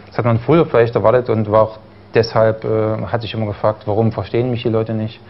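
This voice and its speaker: A man from East Germany speaks as a guest on a studio talk show, his voice sitting low. He hesitates, stutters now and then and fills the gaps with ums. The broadcast audio is a little dull, with faint noise underneath. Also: level tone, quick pace. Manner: stutters at times, hesitant with many fillers. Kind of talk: studio interview.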